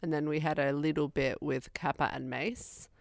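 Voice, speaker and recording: A woman's voice: deep voice, steady tone, normal speed, dry recording. Australian woman, dry close voice. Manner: emphatic, repeats words. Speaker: podcast co-host. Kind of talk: casual chat.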